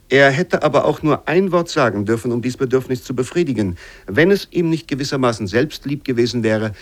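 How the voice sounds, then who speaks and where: A man reading literary prose for radio in a measured, formal storytelling manner. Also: mid-range voice, close to an everyday voice. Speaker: reader of literary excerpts.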